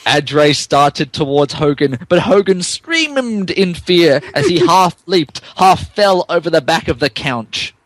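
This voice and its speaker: Man, highish voice, lively comic narration, unhurried, amused stumbles, podcast reader. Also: dry recording. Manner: stumbles over misspelled text, amused. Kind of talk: dramatic comic reading of fan fiction.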